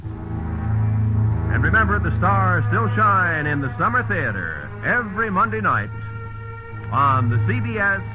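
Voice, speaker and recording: A man's voice: high voice, very animated, slow, pauses often. A man announcing for 1950s network radio in a polished, emphatic manner. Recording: tinny 1950s radio recording. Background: faint music.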